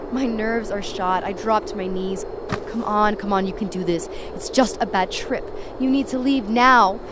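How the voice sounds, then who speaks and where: A woman's voice: mid-range voice, a little hiss or hum, natural voice. An actress playing a panicky young woman on acid, telling her horror story in the first person in an urgent, confiding way. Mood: panicked. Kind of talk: first-person present-tense horror narration, dramatic.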